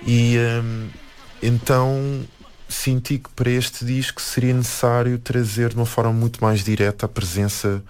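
A man's voice: medium pitch, little pitch movement, moderate pace, darkish tone, clear, resonant tone. Hesitant man with European Portuguese accent. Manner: hesitant, many restarts and fillers. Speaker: musician and anthropologist guest. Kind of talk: reflective interview talk.

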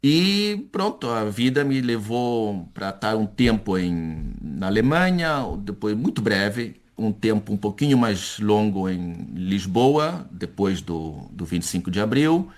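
A man, a professor talking at length in an interview. He speaks in a thoughtful, unhurried way, full of restarts and repeated words as he gathers his thoughts. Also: fairly low pitch, big pitch swings. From born in Chile to Brazilian parents. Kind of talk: conversational interview.

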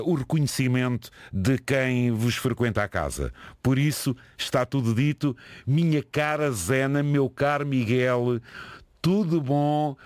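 Man, European Portuguese accent, radio host, mid-pitched, dry sound, effusive, colloquial, chatty interviewing. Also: a little mellow, clean tone, no echo.

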